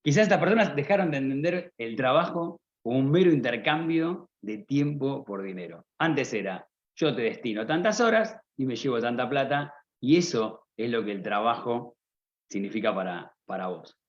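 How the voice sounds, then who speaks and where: A man's voice: mid-range voice, animated, moderate pace. A man from Argentina with an Argentine accent, giving a motivational business talk at a conference, often asking the audience rhetorical questions. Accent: Argentine Spanish. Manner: rhetorical questions, addresses listener directly. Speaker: conference speaker. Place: conference stage. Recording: slightly dull lo-fi sound.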